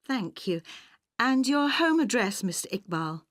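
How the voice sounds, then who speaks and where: A woman acting a polite, efficient university admissions officer in a scripted listening-test dialogue, speaking clearly. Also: a little high, big pitch swings, measured pace, dry recording, clean studio sound. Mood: polite, businesslike. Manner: polite, clearly enunciated, businesslike.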